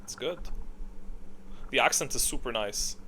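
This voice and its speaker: A man's voice: medium pitch, unhurried, bright, forceful tone, a little hiss or hum. A man hosting a video in casual, unscripted gaming commentary, speaking in a blunt, opinionated way. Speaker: video host ranking game voice lines.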